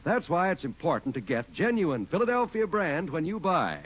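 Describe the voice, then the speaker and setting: A man's voice: on the high side, very animated, moderate pace, slightly rough. A man with an American accent reading as a 1940s radio announcer, in an enthusiastic, polished selling style. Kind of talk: 1940s radio advertising read. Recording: muffled 1940s radio recording.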